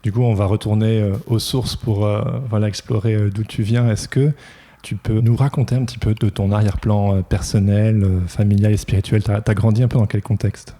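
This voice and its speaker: Man, podcast host, low pitch, very warm dark tone, gentle interviewer. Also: a little fast. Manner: relaxed, encouraging, gentle prompting. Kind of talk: casual interview.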